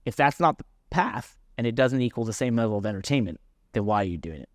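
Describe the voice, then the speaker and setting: A man with a medium-pitched voice talks on a casual motorsport podcast. He explains his position point by point and often doubles back to clarify it. The sound is dry, with almost no room on it. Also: expressive, fast, dry recording. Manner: explanatory, self-correcting, interjecting. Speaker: podcast co-host and racing driver. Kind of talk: casual sports podcast discussion.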